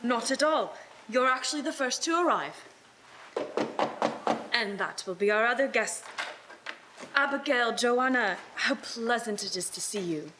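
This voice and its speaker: A woman playing a cheerful, forgiving young gentleman host, earnest and formally phrased, in a live radio-play reading. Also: fairly high pitch, expressive, unhurried, long pauses, very noisy. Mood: welcoming.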